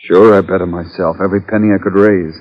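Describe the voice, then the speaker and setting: A man's voice: deep voice, fairly even intonation, lightly acted. Man acting a shaken athlete in reverberant radio drama. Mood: confessing. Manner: earnest, halting. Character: shaken, henpecked male tennis player. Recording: muffled, reverberant old radio recording.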